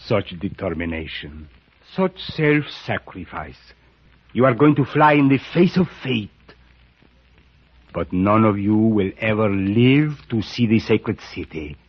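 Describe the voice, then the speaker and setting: Suave, low-voiced villainous cult priest. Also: male voice, animated, husky, full character voice. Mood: smooth menace. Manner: theatrical, silky, taunting, drawn-out words. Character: sinister, suave male priest of a cult, mockingly courteous villain. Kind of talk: old-time radio drama acting. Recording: muffled vintage radio recording.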